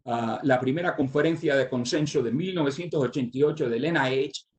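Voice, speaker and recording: An older man born in Cuba and raised in the United States, with a Cuban Spanish accent shaped by English, gives a medical lecture as a surgeon, pausing often on "ah" fillers and restarting his sentences. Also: medium pitch, slight background noise. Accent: Cuban Spanish with American English influence. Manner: halting, with many "ah" fillers and restarts. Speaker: surgeon giving a conference talk.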